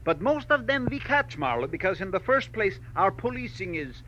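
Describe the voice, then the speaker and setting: An actor playing an exacting middle-aged millionaire mine owner in a 1940s radio drama, emphatic and deliberate in his phrasing. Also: sits high, big pitch swings, a bit bright. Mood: troubled, emphatic. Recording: dull, hissy old radio recording.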